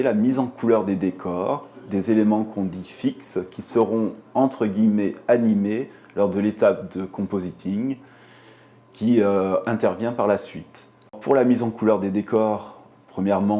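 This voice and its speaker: A man's voice: on the low side, a little slow, mellow. A man in an interview, methodically explaining how coloring works in animation.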